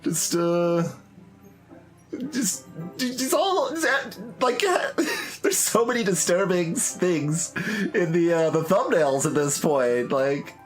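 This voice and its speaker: A man's voice: high voice, lively intonation, takes it slowly, soft background music. A man giving casual, rambling playthrough commentary as a video game streamer, musing aloud as he goes.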